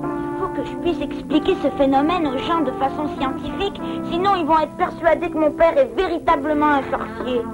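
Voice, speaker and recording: A plucky little beaver lad from a cartoon, voiced by a woman. The voice is high and earnest, quick to turn indignant, with music playing behind. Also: close to an everyday voice, poor audio. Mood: determined. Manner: earnest, emphatic. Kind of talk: French-dubbed cartoon acting.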